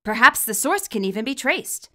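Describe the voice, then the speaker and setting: A woman reading scripted commentary and story narration, expressive and wry as the author of the tale. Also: fairly high pitch, moderate pace. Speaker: fan fiction author and narrator.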